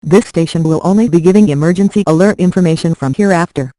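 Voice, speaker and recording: Male emergency broadcast announcer, slightly high mellow voice, formal official delivery. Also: fast, dry recording, poor audio.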